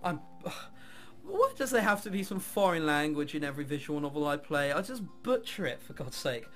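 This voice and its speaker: Male let's player, high lively voice, mock-exasperated commentary with faint music underneath. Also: moderate pace. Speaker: gamer reading and commenting on a visual novel. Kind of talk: let's play narration with sarcastic asides.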